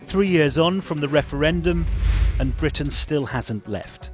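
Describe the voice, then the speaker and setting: A man's voice: a little high, expressive, measured pace, loud music behind the voice. Man narrating a news report.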